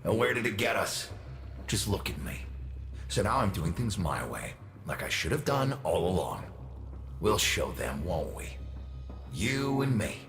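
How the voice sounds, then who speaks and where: A man's voice: low-pitched, a bit bright, full character voice. A man playing a cocky, resentful upstart son in a video game, with an informal, dramatic way of talking. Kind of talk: video game character acting. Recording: music behind the voice.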